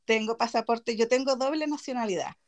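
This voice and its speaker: Chatty higher-voiced Canarian woman host. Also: fairly quick. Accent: Canary Islands Spanish. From Chile. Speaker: podcast host. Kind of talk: casual chat.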